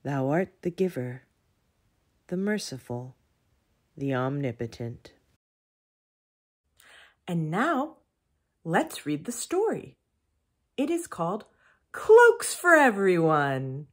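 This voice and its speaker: Slow-speaking woman with a slightly husky voice. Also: on the low side, very animated. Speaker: children's story reader and presenter. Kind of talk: read-aloud storytelling and reflection for children. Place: indoors in a home room with windows.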